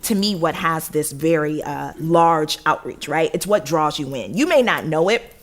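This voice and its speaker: A woman's voice: sits low, animated, normal speed. A woman guest giving reflective, emphatic, conversational answers in an interview.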